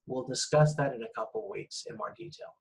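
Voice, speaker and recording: A man's voice: mid-range voice, huge pitch swings, a little fast, creaky, poor audio. A man lecturing informally over slides, explaining things in a conversational way and often checking in. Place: online lecture with screen-shared slides.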